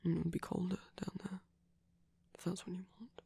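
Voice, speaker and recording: Breathy whispering vampire boyfriend, voiced by a man. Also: sits high, monotone, fairly quick, warm tone, full character voice. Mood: soft, reassuring. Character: gentle, caring male vampire boyfriend, softly self-conscious. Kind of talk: whispered ASMR roleplay.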